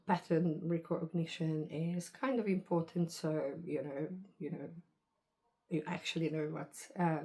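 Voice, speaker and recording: Woman, low voice, unhurried, hesitant, rambling vlog talk. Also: a little mellow. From Hungary. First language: Hungarian. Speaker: vlogger. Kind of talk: casual monologue to camera.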